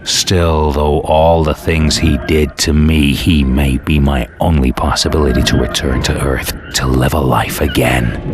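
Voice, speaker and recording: Very deep, jaded antihero narrator. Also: male voice, steady tone, unhurried, hardly any pauses, background noise. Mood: grim. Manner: world-weary, sardonic. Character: jaded, cynical dead hitman antihero narrating his purgatory war. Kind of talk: hardboiled scripted narration.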